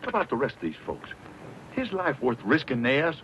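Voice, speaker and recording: An actor playing a gruff, folksy old American frontier scout in a radio western, with a blunt drawl. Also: male voice, medium pitch, huge pitch swings, moderate pace, fairly bright tone, a little hiss or hum. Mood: scornful, blunt. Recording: dull lo-fi radio drama, music behind.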